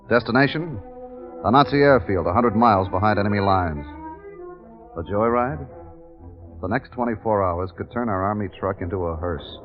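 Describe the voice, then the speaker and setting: A man's voice: on the low side, lightly acted. A man playing a commanding American army captain, clipped and tense, in the manner of 1950s radio acting. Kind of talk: 1950s radio acting, dramatic first-person narration. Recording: muffled vintage radio with music.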